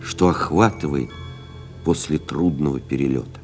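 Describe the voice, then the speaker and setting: An actor playing a pensive airmail pilot who recalls lost comrades in a literary audio drama, solemn and reflective, with measured dramatic pauses. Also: male voice, rumbling low, over loud music. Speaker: actor reading a literary memoir as narrator.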